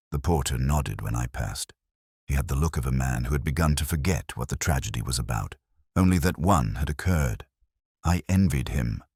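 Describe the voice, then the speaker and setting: Very deep, brooding detective played by a man. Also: husky, no room sound, studio quality, exaggerated voice. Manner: solemn, literary, reflective, measured phrasing. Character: melancholy, brooding older English police inspector recalling an old case. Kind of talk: first-person scripted narration, audio detective drama.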